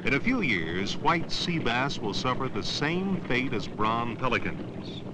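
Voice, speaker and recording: A man narrates an educational film from around 1970 in a measured, declarative style. His voice sits at a medium pitch and moves with lively inflection. The old soundtrack is clearly noisy, with boat and outdoor ambience behind him.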